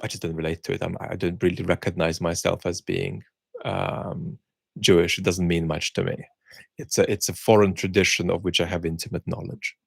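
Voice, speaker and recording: A middle-aged man, born in the Soviet Union with Russian as his first language, musing aloud as he answers viewer questions, pausing often with ums. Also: sits low, flat delivery, vocal fry, breathy edge, no echo, clean studio sound. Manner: measured, thinking aloud with many ums and pauses. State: unwell, speaking while cared for. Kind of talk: reflective solo chat.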